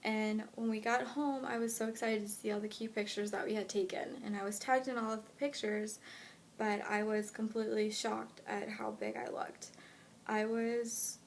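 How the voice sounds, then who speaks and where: A young woman with an American accent talks casually to her blog followers. Her voice sits at a middle pitch and moves little in melody. Faint noise runs behind the recording. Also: moderate pace. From United States, lived in Honduras when younger. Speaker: fitness blogger introducing self to followers. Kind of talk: casual vlog chat answering questions. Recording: clear steady background noise.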